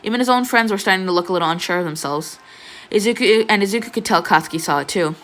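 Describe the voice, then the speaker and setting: A woman's voice: mid-range voice, fast, a bit bright. A young adult woman reading fan fiction as an amateur narrator. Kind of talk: expressive scripted story narration, casual asides.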